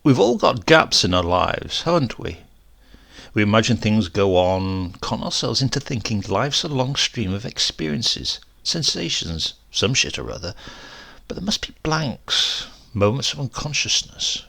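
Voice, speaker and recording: Creaky, haunted male actor as crash survivor. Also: fairly low pitch, big pitch swings, bright, forceful tone, full character voice. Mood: haunted, confiding. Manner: halting, conversational, brooding with sudden outbursts. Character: shaken, rambling male crash survivor narrating horror. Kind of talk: dramatic first-person horror story reading.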